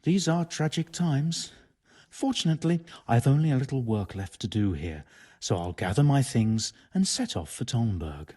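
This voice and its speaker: An actor playing a solemn, pious monk in a medieval video game, husky and measured. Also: male voice, mid-range voice, lively intonation, mellow.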